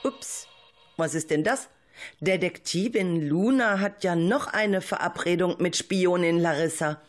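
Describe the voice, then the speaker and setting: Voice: mid-range voice, unhurried, faint music behind. A narrator reading scripted linking narration for an amateur radio play.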